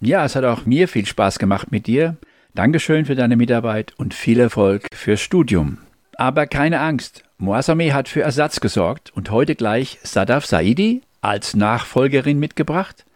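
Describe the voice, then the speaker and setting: A man's voice: mid-range voice, expressive, normal speed, darkish tone, dry recording, clean studio sound. A man hosting a local community radio show, reading announcements and thanking his team in a friendly way. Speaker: radio host.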